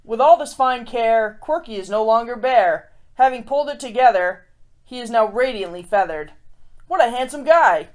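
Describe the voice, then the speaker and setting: A woman with a fairly high voice hosts a story time for children. She is expressive in her storytelling and chatty delivery, addressing her young listeners directly. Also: normal speed. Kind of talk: read-aloud picture book storytelling and chatty review for kids.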